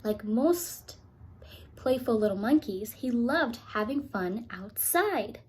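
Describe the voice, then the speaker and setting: A woman's voice: a little high, lively intonation, slow pace, a little hiss or hum. A woman teacher reading a picture book aloud to young children, expressively and with little explanatory asides.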